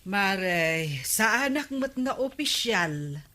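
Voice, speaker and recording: Village wife, Ilocano accent, sharp-tongued, scolding, bright mid-pitched voice, radio comedy drama. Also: female voice, animated, extremely slow, full character voice. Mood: reluctant.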